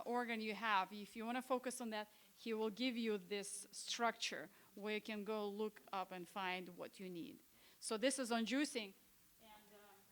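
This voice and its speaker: Smooth-voiced woman presenting health books. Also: fairly high pitch, a bit bright. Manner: conversational, with frequent hesitations and restarts. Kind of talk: informal presentation at a church seminar. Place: church hall.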